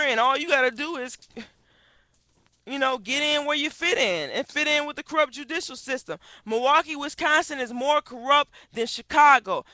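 A woman's voice: high-pitched, bright, forceful tone, dry recording. A middle-aged woman giving impassioned, indignant commentary into a webcam headset in a small room, asking pointed rhetorical questions. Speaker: activist vlogger. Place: small wood-paneled room indoors.

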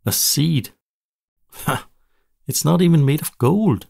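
A man's voice: on the high side, slow pace, gravelly, a little mellow, husky, no room sound, clean studio sound, pitched above the performer's own voice, full character voice. A man voicing a small, anxious robot sidekick in a storybook, skeptical but kindly and fretful.

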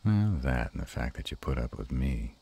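A man playing an affectionate, bashful, gruff were-dragon lover in an intimate romantic roleplay audio, with a slow, teasing murmur. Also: lively intonation, warm tone, heavily acted. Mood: loving. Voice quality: very deep and husky.

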